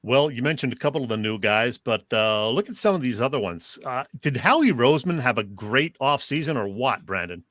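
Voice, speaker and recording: A man's voice: mid-range voice, expressive, a little fast, few pauses, a bit bright, no room sound, studio quality. A man hosting a sports talk show, putting casual questions to a guest.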